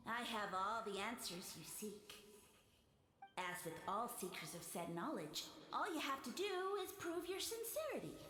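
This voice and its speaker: A woman's voice: mid-range voice, very animated, moderate pace, a bit bright. A woman voicing a haughty talking mushroom lord in a video game, grandiose, theatrical and condescending. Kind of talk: video game character acting. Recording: echoing voice, faint background noise.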